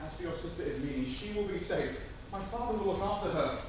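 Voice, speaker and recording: A man's voice: sits high, animated, fairly quick. Man playing earnest young prince, heavily echoing. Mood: grave, certain. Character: devoted young prince, earnest, pleading, resolute lover. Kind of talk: stage tragedy acting. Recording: very echoey hall, distant mic, faint noise.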